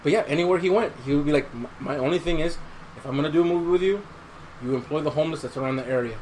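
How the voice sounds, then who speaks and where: A man's voice: on the high side, very fast, darkish tone, slight background noise, rough recording. A man chatting casually as a podcast co-host, repeating phrases for emphasis. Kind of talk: casual chat.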